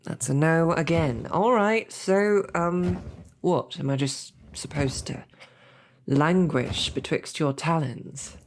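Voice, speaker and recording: A woman's voice: sits low, slow pace, slight background noise. A woman voicing a snarky, formal captured royal knight in an audio roleplay. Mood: puzzled. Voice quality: formal, wordy and wry. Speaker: audio drama performer.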